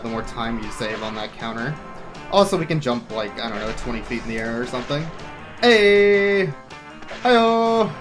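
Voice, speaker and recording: A man commentates his own speedrun in a casual, live style, with game music clearly playing behind him. His asides are wry and playful, with a touch of mock drama. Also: medium pitch.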